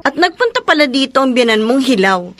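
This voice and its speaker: Frank Filipino confidante. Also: female voice, high-pitched, sing-song, a little fast, over loud music. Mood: curious concern. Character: frank, inquisitive female confidante of the heroine. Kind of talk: Filipino radio drama acting.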